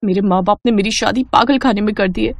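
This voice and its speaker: A woman's voice: medium pitch, fast, no room sound, natural voice. A woman voicing a naive young bride in a cartoon, earnest and flustered. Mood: exasperated. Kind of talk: cartoon dubbing.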